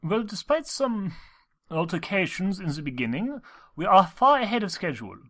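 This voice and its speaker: A man's voice: high-pitched, a bit bright. A man voicing an exacting pony conductor who speaks formally and with authority in a story reading. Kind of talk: audiobook character acting.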